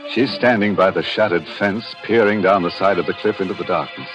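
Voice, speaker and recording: Deep-voiced guy hosting old radio horror, playfully ghoulish, muffled sound with music. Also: a little fast. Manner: tongue-in-cheek, mock-ghoulish. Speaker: show host. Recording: dull old radio recording, music behind.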